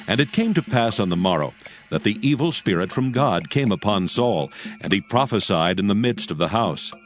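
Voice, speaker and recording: A man narrating a dramatized scripture audio book from a script in a solemn, measured storytelling way. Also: lowish voice, expressive, soft background music.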